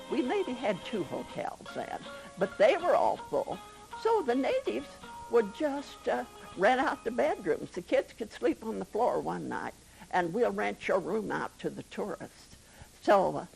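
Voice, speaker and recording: An older woman tells anecdotes in an interview. Her pitch moves in a lively way, and her voice is creaky and husky. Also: poor audio. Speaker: interviewee recalling the past. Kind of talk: casual anecdotal storytelling.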